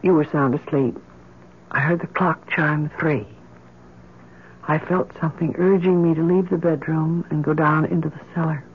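Obsessed grieving American mother, insistent and urgent, slightly rough voice, dull old-time radio drama sound. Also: low-pitched, fairly even intonation, moderate pace. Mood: haunted, insistent. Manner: intense, urgent, commanding. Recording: muffled lo-fi vintage radio recording.

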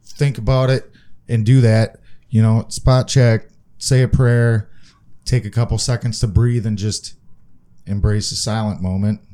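Laid-back male co-host with a mellow voice, joking and profane. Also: on the low side, little pitch movement, a little slow. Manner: joking, swears freely. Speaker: podcast co-host. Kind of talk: casual recovery podcast chat.

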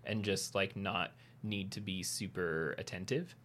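Man, low voice, podcast co-host, casual game chat, hesitant, restarts often. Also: fairly even intonation, measured pace, a bit bright. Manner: hesitant, many restarts and fillers, laughs easily. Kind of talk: casual chat about video games.

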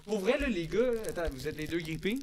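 A man's voice: sits high, lively intonation, fast, a crowd in the background. A young man with a Quebec French accent joking casually in slang, recorded with other people chattering behind him. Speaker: podcast participant. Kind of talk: casual banter.

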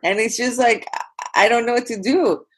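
A woman's voice: fairly high pitch, normal speed, breathy edge. A woman in her thirties in casual conversation, chiming in and recounting things by quoting herself. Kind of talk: casual chat.

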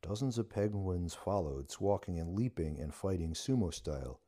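A man's voice: low voice, animated, normal speed, mellow. A man reading a short story aloud as scripted narration. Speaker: story reader.

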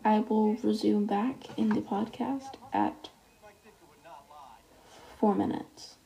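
A woman's voice: medium pitch, steady tone, takes it slowly, creaky, warm tone, lo-fi sound. A teenage girl hosting a casual solo podcast, reading texts aloud in a chatty way while losing her voice. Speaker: podcast host.

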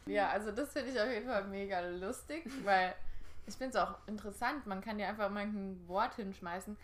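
A woman's voice: medium pitch, brisk, slight background noise. A young woman from Germany, co-hosting a casual podcast in an easygoing way and laughing often. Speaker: podcast co-host. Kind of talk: casual chat.

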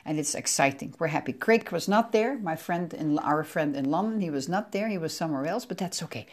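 A middle-aged Dutch woman talks through her solo podcast in a rambling, chatty flow, stopping to correct herself. Her voice sits a little low for a woman. She sounds sore, nursing aching ribs. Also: lively intonation, brisk. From Netherlands. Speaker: podcast host. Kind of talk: casual solo podcast monologue.

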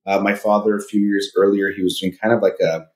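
A man's voice: lowish voice, little pitch movement, quick pace. A man in his forties from Southern California, a psychologist and podcast guest who first spoke Farsi, talking thoughtfully with many fillers. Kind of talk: casual podcast interview.

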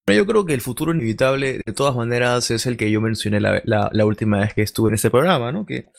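A man's voice: medium pitch, lively intonation, quick pace, gravelly. A man speaking as a returning podcast guest, explaining his views and often checking in with a questioning tag. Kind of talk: casual chat.

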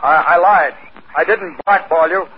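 An actor playing a plain-spoken, halting spaceman facing death in a 1950s radio drama. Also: a little high, close to an everyday voice. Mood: ashamed, urgent. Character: gruff dying spaceman softened by shame. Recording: muffled, hissy 1950s radio recording.

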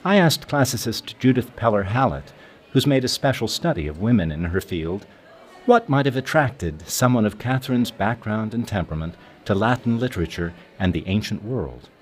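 A male narrator reads a scripted documentary. His voice sits a little low, and his intonation moves up and down in a very lively way. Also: normal speed. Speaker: narrator and interviewer.

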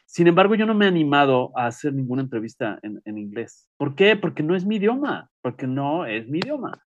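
A man's voice: on the high side, sing-song, brisk, highs cut off. A man co-hosting a radio show, teasing and joking in a theatrical way. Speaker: radio co-host. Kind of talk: playful radio chat.

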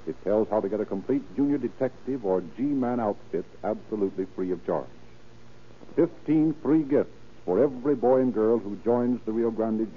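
A man narrating and announcing in a formal, theatrical, emphatic 1930s radio style. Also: on the low side. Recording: tinny, hissy 1930s radio recording.

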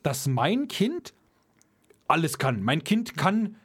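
A man's voice: fairly high pitch, sing-song, slow pace, a bit bright, slightly rough. A man chatting casually as co-host, blunt and ranting in colloquial speech. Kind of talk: casual chat.

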